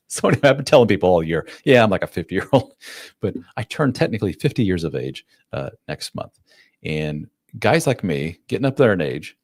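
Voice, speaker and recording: A man's voice: low-pitched, a little mellow, dry recording, clean studio sound. A man in his late forties, a relationship coach answering chat questions live in a casual, plain-spoken way. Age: 40s. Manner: casual, plain-spoken, acts out quotes in a raised voice. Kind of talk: live-stream Q&A.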